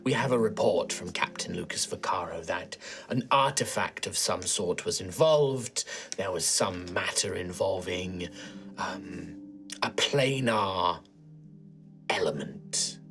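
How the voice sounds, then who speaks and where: A game master voicing a frail, weary older nun inquisitor who speaks in a hushed, formal whisper. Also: deep voice, animated, soft background music, pitched below the performer's own voice. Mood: probing. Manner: formal, slow, measured.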